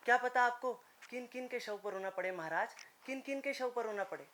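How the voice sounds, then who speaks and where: A man's voice: extremely high pitch, a little fast, fairly bright tone. A man playing a solemn, persuasive royal peace envoy in a formal, theatrical mythological monologue, declaiming and pleading with emphatic repeated phrases. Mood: imploring.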